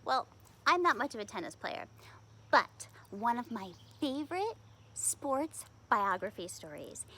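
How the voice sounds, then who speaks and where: A woman reading a children's book aloud like a teacher, in an animated, conversational way with emphatic stress on repeated words. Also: on the high side, a little slow, a little hiss or hum.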